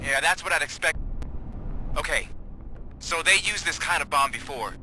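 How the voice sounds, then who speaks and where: An actor playing an eager, nervous police officer in a video game, earnest and a little hesitant. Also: male voice, fairly high pitch, animated, moderate pace, noisy background, lightly acted. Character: eager, nervous male police officer guiding his partner. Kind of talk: video game cutscene acting.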